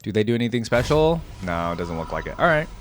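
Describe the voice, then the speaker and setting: A man's voice: lowish voice, sing-song, quick pace, slight background noise. A man giving casual reaction commentary in a conversational way, thinking aloud as he goes. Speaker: gaming video creator, reactor. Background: music.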